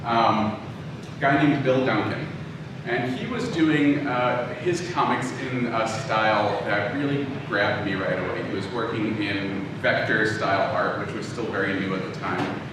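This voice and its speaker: A man giving an informal, conversational lecture, often halting and backtracking to rephrase as he tells personal anecdotes. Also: lowish voice. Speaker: lecturer, audio drama writer and producer. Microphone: distant from the speaker. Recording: very echoey room, distant mic, clearly noisy.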